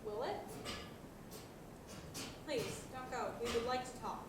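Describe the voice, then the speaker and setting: A young woman plays a little boy leader with a high voice, diplomatic and formal beyond his years. It is stage comedy, caught by a distant mic with loud noise. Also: takes it slowly. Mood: placating, polite. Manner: persuasive, polite, mock-grown-up formality. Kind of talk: student stage comedy acting. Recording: very echoey hall, far mic, loud noise.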